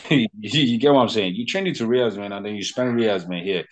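A man's voice: fairly low pitch, a little fast. A Nigerian man whose first language is Yoruba, a guest on a podcast, talking animatedly and repeating himself, with "man" and "you know" all through his speech. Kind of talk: casual conversation.

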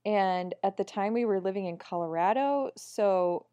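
A woman co-hosting a podcast, telling everyday stories in an animated way and laughing often. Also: mid-range voice, normal speed, clean tone, muffled recording. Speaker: podcast co-host. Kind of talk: casual podcast chat.

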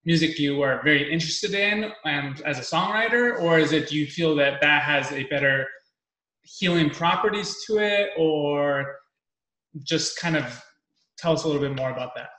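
A man in his thirties hosting a casual podcast interview, talking quickly and rambling, full of fillers and restarts. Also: a little high, sharp tone, echoey room, rough recording. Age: 30s. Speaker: podcast host.

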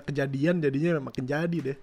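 Laid-back male host, slightly high voice. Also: fairly quick, breathy edge. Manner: relaxed, frequent short backchannels. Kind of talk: casual chat.

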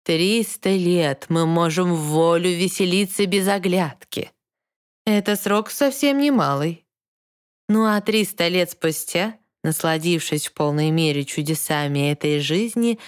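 A woman playing a wise, kindly old mermaid grandmother in a verse audio fairy tale, calm and measured as she explains. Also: lowish voice, no echo, studio quality, natural voice. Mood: soothing.

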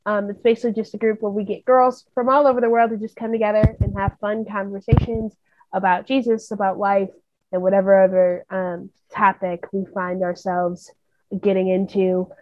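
A woman's voice: medium pitch, level tone, moderate pace, dry recording. Brief: young woman, chatty and self-aware, dry lo-fi call audio. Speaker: guest, group leader. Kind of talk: casual video-call chat.

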